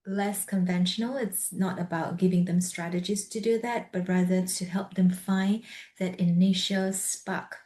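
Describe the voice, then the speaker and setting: A woman hosting a podcast interview over a video call, speaking gently and softly with occasional nervous laughs. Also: on the low side, fairly even intonation, measured pace. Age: adult with a young son. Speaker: podcast host. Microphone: video call recording.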